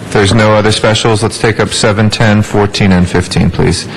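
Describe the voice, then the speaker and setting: A man in his thirties chairing a city council meeting from a desk microphone in a large chamber, brisk and procedural with relaxed fillers. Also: lowish voice, a little hiss or hum, poor audio. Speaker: council president.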